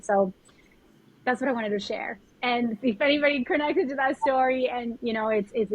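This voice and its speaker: A woman's voice: medium pitch, slightly rough. A woman with a Colombian accent, a spiritual mentor talking earnestly about her path in a podcast conversation, often repeating herself as she gathers her words. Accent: Colombian Spanish. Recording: dull lo-fi audio.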